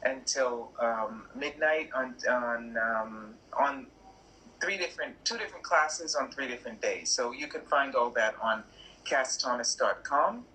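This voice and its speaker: A woman guest on an online live call, speaking in a slow, reflective coaching style and posing questions to listeners. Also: a bass voice, bright, forceful tone, slight background noise, rough recording. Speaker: workshop leader, interview guest.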